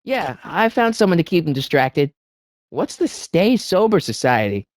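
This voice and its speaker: Animated, high-pitched teenage boy hero. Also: no echo, clean studio sound. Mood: friendly. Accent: American. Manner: hesitant, stammering, eager, fast-talking persuader. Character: earnest, anxious teenage boy hero of a time-travel adventure. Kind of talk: video game character dialogue.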